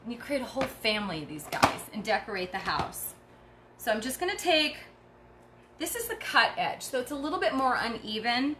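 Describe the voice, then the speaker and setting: A woman's voice: on the high side, expressive, fairly quick, background noise. A middle-aged woman hosting a craft tutorial, chatty and encouraging as she works, sounding tired. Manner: chatty and encouraging, talks while working. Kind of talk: casual craft demonstration.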